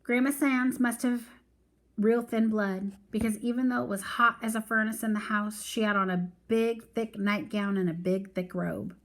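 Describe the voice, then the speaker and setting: A woman reading a story aloud with expressive narration. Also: on the high side, normal speed. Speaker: reader of a weekly read-aloud.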